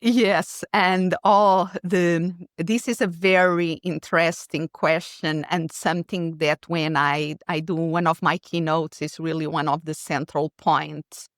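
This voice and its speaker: A woman's voice: sits low, fairly even intonation, measured pace, hardly any pauses, no echo, studio quality. A middle-aged woman from Portugal with a Portuguese accent, explaining her ideas thoughtfully as an interview guest. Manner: thoughtful, explanatory, occasional restarts. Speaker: keynote speaker, guest. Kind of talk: interview answer.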